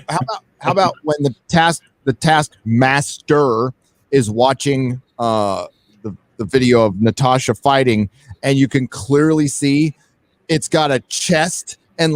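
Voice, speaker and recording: A man's voice: mid-range voice, measured pace, no echo. A man hosting a casual film discussion, blunt and swearing often in his talk. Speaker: livestream host.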